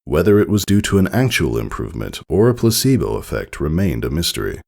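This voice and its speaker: Male story narrator, deep and fry-laden, expressive scripted reading. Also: brisk. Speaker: fan fiction reader.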